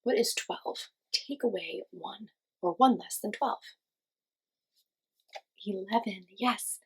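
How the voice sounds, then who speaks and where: A woman with a distinctly breathy, husky voice and some creak leads a children's lesson. She gives simple, encouraging instructions and poses questions, pausing as if for young listeners to answer. Her pitch is average for a woman. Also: rough recording. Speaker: children's class teacher and host. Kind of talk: children's lesson with guided movement.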